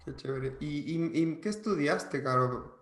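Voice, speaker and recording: A man's voice: fairly high pitch, unhurried. Upbeat man co-host in an echoey room. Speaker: podcast co-host. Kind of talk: casual interview chat.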